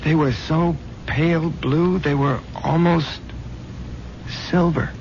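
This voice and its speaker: A man's voice: on the high side, steady tone, slow pace. A nervous, uneasy policeman. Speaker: Prouty. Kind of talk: 1970s radio drama acting. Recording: dull old radio with loud hum.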